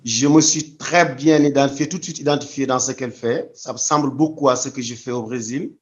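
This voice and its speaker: A man's voice: mid-range voice, fairly even intonation, brisk, muffled recording. A man from Senegal answering interview questions thoughtfully, often restarting his phrases. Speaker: guest.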